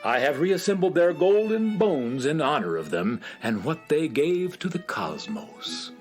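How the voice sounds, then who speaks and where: An actor playing a bumbling, politely reverent temple curator in a children's radio comedy, halting and deferential. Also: medium pitch, big pitch swings, a little mellow, soft background music.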